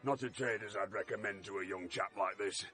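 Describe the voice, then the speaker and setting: Weary battered fairground boxer. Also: male voice, fairly low pitch, brisk, pushed and bright. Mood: friendly, weary. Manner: plain folksy talk, long-suffering. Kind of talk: vintage radio play acting.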